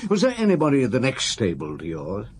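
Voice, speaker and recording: A calm, probing chief inspector in a radio drama, asking terse questions laced with dry humour. His mid-pitched voice moves a lot in intonation. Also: fast, slight background noise.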